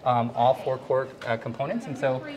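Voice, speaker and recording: A man's voice: medium pitch, fairly even intonation, normal speed, darkish tone. A middle-aged man, a school principal, explaining things in an upbeat way as he walks through school halls and classrooms, speaking into a handheld mic. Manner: upbeat, explanatory, walking while talking. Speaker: school principal leading a tour. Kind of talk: casual guided tour talk. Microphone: handheld microphone.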